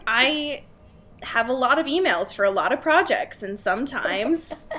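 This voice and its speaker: Casting: young female podcast host, bright forceful tone, lively swooping intonation, exaggerated casual chat. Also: high-pitched, a little slow. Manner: sweary, exaggerated, slangy. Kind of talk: casual comedic book discussion.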